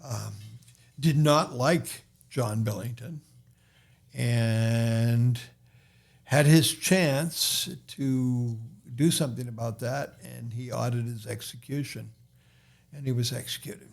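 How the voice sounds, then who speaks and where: A man's voice: mid-range voice, takes it slowly, vocal fry. A man with a Boston accent, a podcast guest and author, talking in a casual chat with many restarts and fillers.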